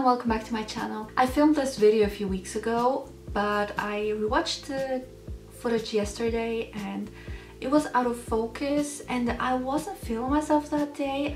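A young woman from Switzerland hosting a casual Q&A vlog from her bedroom, talking to the camera in a chatty, slightly self-conscious way with pauses and restarts. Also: a little high, roomy sound, far from the mic. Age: young adult. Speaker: channel host. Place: bedroom at home, indoors.

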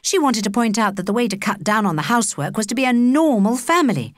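A woman with a British accent narrating a children's audiobook in an expressive, measured storytelling manner. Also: fairly high pitch, dry recording, clean studio sound. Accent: British English.

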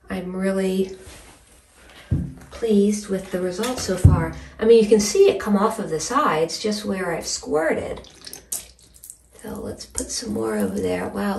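A woman in her forties chatting casually in an expressive, emphatic way, as a home cleaning vlogger. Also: medium pitch, slow pace, vocal fry, pauses often. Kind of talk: casual vlog chat and narration while cleaning. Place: small tiled bathroom, indoors. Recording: roomy bathroom echo, distant mic.

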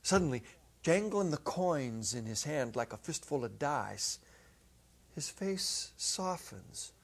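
A middle-aged man giving a dramatic literary reading, deliberate and theatrical, drawing out his words. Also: a little high, sing-song, gravelly. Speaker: story reader. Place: indoor set with holiday decor. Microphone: clip-on lapel mic.

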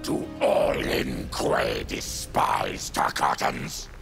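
Growling mutant warrior. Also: male voice, a bass voice, very slow, music in the background, full character voice. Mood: snarling. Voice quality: gravelly, growling. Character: snarling monstrous male mutant warrior.

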